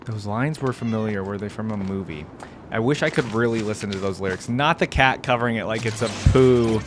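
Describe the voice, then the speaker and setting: A man hosting a reaction video with casual, slangy commentary and excitable exclamations. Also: fairly low pitch, brisk. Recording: music playing behind the voice. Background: game trailer music.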